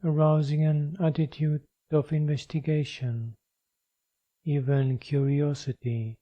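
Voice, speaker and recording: A man leading a guided meditation as a teacher, speaking in a measured, instructional way with long pauses. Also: on the high side, flat delivery, highs cut off.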